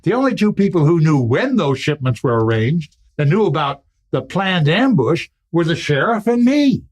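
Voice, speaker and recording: A man's voice: a little high, very animated, normal speed. A man playing a baffled, plain-spoken Old West townsman in a western radio play.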